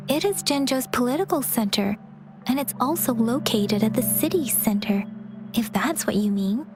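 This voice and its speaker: A woman voicing a gentle, earnest, caring young companion in a video game, polite and soft-spoken. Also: fairly high pitch, lively intonation, moderate pace, background noise, close to an everyday voice. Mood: earnest, curious.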